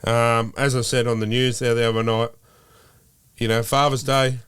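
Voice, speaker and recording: A middle-aged Australian man chatting casually about rugby league as a podcast co-host, excitable and quick to jump in. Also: medium pitch, smooth. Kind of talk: casual sports chat.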